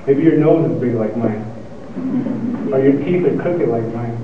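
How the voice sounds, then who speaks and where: A man's voice: mid-range voice, noisy background. Young man who stutters, echoey hall. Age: young adult. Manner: stuttering, pausing and repeating words. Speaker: student speaker. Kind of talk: motivational speech. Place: lecture hall. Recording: echoey hall, tinny and far off.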